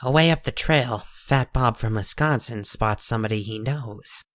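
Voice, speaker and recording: A man playing a cynical, hard-bitten dead man who narrates a comic dub in a husky, world-weary, folksy way. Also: mid-range voice, normal speed, a bit bright, no room sound, studio quality, natural voice. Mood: dryly sardonic.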